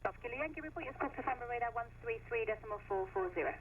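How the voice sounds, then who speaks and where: A woman gives air traffic instructions extremely fast in a creaky voice. Her radio transmission is dull. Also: a little high, expressive.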